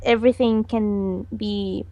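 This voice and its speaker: A woman's voice: mid-range voice, steady tone, slow pace, warm tone, no echo, lo-fi sound. A woman animator answering interview questions in an earnest way, giggling often and trailing off. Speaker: animator guest.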